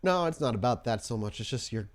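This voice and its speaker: A man playing a blunt, wary female vampire negotiator in a tabletop game, speaking in a plain, halting, guarded way. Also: mid-range voice, animated, close to an everyday voice. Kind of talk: tabletop roleplay in character.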